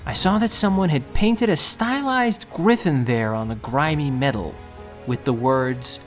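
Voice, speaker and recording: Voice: medium pitch, lively intonation, unhurried, faint music behind, natural voice. An actor playing an anxious, self-doubting, idealistic young thief who narrates their own story earnestly and intensely in an audio drama. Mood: earnest, self-mocking.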